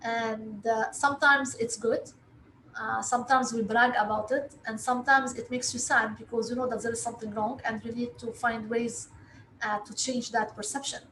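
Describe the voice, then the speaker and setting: Interview guest: woman from Lebanon, native Arabic speaker, highish voice, halting delivery, roomy echoing sound. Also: steady tone, fairly bright tone. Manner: halting, hesitant delivery with frequent long filled pauses. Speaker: interview guest, investor relations director. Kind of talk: interview answers. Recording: echoey room with faint background noise.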